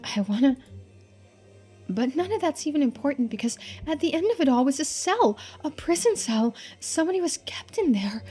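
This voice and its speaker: A woman's voice: high-pitched, sing-song, normal speed, warm tone, faint music behind, voice pushed up. A woman voicing an earnest, urgent young woman from a story game, blunt and rambling as she talks.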